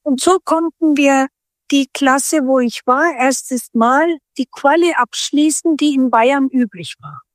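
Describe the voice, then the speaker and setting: A middle-aged woman from Turkey speaks in a high voice. She talks slowly and reflectively, often restarting sentences as she hunts for words. The recording is close and dry, with no room sound. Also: dry recording. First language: Turkish. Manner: thoughtful, searching for words with frequent restarts. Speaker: guest. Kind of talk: reflective interview conversation.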